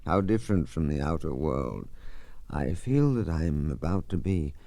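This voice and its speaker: An actor playing a gallant, nervous old gentleman in old radio drama style, polite and wistful. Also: very deep voice, lively intonation, gravelly, no echo, pitched below the performer's own voice, exaggerated voice. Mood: courtly, polite. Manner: courtly, wistful, slow, polite. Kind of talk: 1940s radio mystery acting.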